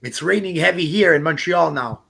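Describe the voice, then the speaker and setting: A man's voice: a little high, normal speed, creaky, pushed and bright, husky. A man chatting casually on a live stream, friendly and asking many questions. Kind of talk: casual chat.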